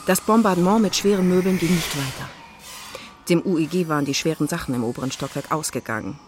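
A woman's voice: low voice, quick pace, background noise. A woman narrating a children's radio play in a scripted storytelling style. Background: music.

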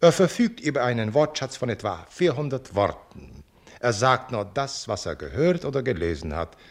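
A man's voice: medium pitch, sing-song, normal speed. An actor playing a courteous, learned male zoo director in a radio drama, speaking formally and politely with some hesitation.

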